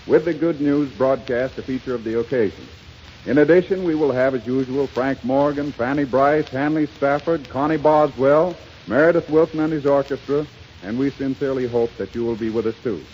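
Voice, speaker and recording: A man's voice: mid-range voice, darkish tone. A man with an American accent reading scripted announcements as a 1930s radio announcer. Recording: hissy, muffled 1930s radio recording.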